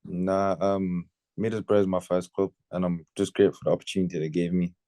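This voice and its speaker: Young man, late teens, deep dark voice, casual slangy interview chat, laid-back. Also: level tone, brisk, dry recording. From Birmingham, England. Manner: laid-back, slangy, with tags like innit and you know what I mean. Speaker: footballer being interviewed.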